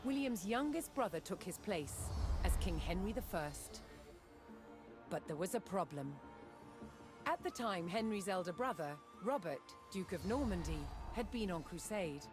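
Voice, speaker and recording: Female documentary narrator, mid-pitched, measured and dramatic storytelling, scripted history read over loud music. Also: animated. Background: loud cinematic background music.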